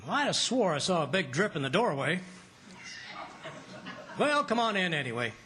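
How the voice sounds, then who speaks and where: An actor playing a blustery, folksy, wisecracking husband in old-time radio comedy. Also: male voice, sits high, very animated, normal speed, pushed and bright, exaggerated voice.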